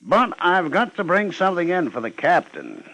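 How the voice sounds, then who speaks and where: An actor playing a fussy, educated police lieutenant with a formal, precise, droll manner in a 1950s radio drama. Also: male voice, sits high, sing-song, normal speed, sharp tone, exaggerated voice, rough recording. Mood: droll, insistent. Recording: vintage 1950s radio recording.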